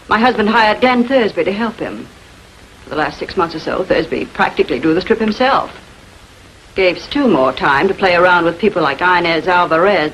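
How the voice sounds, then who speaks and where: An actress playing a defensive, haughty estranged wife in a 1940s filmed crime drama, fiercely protective and quick to take offence. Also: female voice, fairly low pitch, fairly bright tone. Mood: bitter, defensive. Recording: noisy old 1940s film soundtrack.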